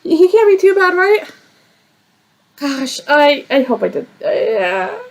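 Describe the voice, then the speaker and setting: A woman's voice: high voice, big pitch swings, slow. A woman gamer giving casual let's-play commentary, hesitant and questioning herself, with some swearing. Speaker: gamer playing a story game.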